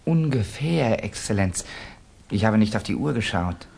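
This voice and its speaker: An actor playing an anguished, guarded young student in a German radio play, speaking in a tense, halting, self-justifying way. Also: male voice, lowish voice, expressive, vocal fry, darkish tone, breathy edge, full character voice. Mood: guarded, nervous. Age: young adult. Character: anguished, guarded young male Russian student turned informer.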